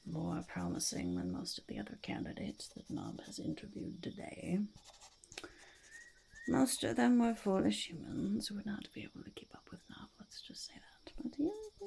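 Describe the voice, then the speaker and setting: A woman voicing an eccentric, self-important goblin conducting a whispered ASMR interview, halting and fussy, with a creaky, gravelly voice. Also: fairly high pitch, darkish tone, full character voice. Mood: grudgingly impressed. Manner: halting, fussy, self-repeating, refers to self in third person. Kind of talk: ASMR roleplay, whispered and soft-spoken interview.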